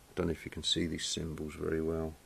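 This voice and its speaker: A man's voice: a bass voice, monotone, fast talker, vocal fry. A man giving a casual welding demonstration in a workshop, matter-of-fact and chatty, calling people "mate". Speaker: welding instructor. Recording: noisy workshop camera audio.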